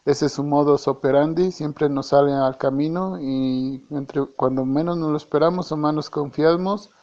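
A man's voice: on the high side, moderate pace, darkish tone, dull audio. A Mexican man from Mexico leaving a casual voice message, hesitant, often repeating and correcting himself. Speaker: church member.

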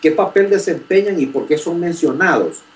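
A man's voice: a little high, moderate pace, far from the mic. A young man from Honduras, a graduate student politely asking a question, formal and hesitant with many fillers. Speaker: graduate student, first-time participant. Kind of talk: asking a question in an online chat.

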